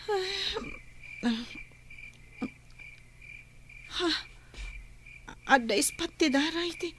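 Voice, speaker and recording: Anxious expectant wife for audio drama, actress, high voice, slight background noise. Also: female voice, expressive, drawn-out words, fairly bright tone, exaggerated voice. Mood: uneasy. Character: anxious pregnant young wife. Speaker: voice actor. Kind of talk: dramatised audio story acting.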